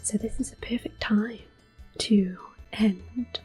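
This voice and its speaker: A woman giving gentle, hushed ASMR commentary on a video game, slipping between soft speech and whispers. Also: mid-range voice, steady tone, takes it slowly, slightly rough. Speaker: host playing a video game. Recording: soft game music behind. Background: quiet game music.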